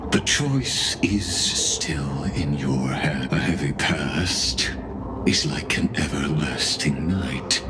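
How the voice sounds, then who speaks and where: A man's voice: a bass voice, expressive, very noisy, exaggerated voice. A man playing a suave, menacing schemer in a video game, speaking with theatrical, polite deliberation over music. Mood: calm, insinuating. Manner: slow, deliberate, theatrical phrasing with polite formality. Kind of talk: dramatic video game voice acting.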